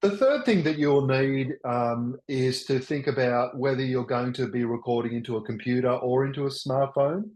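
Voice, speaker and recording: An older man presenting a webinar in a loud, enthusiastic voice, often restarting his sentences. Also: medium pitch. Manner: halting, with many restarts. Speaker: workshop presenter. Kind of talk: teaching a webinar. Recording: roomy echo.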